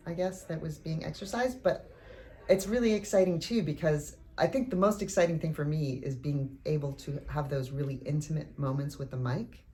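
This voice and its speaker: Woman, low voice, reflective casual interview, actress, faint background noise. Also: normal speed, mellow. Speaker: actress in an audio drama.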